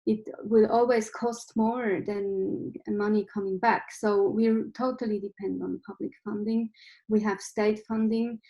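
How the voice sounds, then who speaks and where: A woman's voice: mid-range voice, fairly even intonation, measured pace, mellow, poor audio. A woman in her forties from Switzerland, a film director speaking on a video call from a room at home with bookshelves, thoughtful and full of restarts. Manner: thoughtful, with many restarts and fillers. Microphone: laptop webcam video call.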